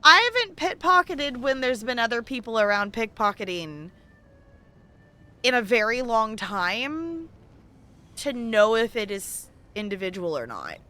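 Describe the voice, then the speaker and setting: A woman's voice: on the high side, very animated, measured pace, pushed and bright, clear, resonant tone. A woman hosting a game livestream, chatting casually and thinking aloud in a rambling way as she plays. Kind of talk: casual chat while playing an online game.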